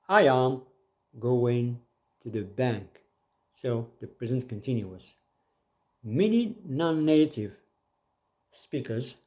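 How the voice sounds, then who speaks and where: A man teaching an English grammar lesson, reading each example sentence and then repeating it in a slow, deliberate way. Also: medium pitch, warm tone.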